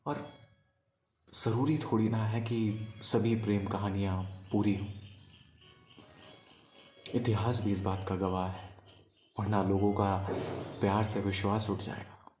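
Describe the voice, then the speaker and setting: A man performing a poetic love monologue as a storyteller, in a wistful, deliberate manner with pauses. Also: fairly low pitch, little pitch movement, darkish tone, reverberant, a little hiss or hum. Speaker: spoken-word storyteller. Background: music.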